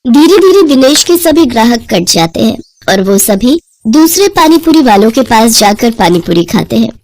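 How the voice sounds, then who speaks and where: A woman tells a moral story from a script. Her voice is notably high, and she speaks as a storyteller narrating events. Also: very animated, normal speed, darkish tone.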